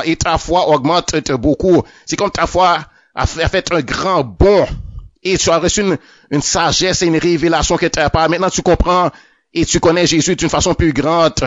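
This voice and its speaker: Halting, earnest man preaching a testimony. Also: a little high, no room sound. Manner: repeats words often, halting restarts. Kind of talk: spoken religious testimony. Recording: dull lo-fi, dry.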